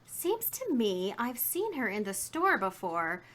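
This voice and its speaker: A woman's voice: on the high side, huge pitch swings, takes it slowly, a bit bright, exaggerated voice. An actress playing a chatty, indignant, folksy woman shopkeeper in a 1950s radio drama. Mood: reminiscing. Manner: folksy, garrulous, emphatic.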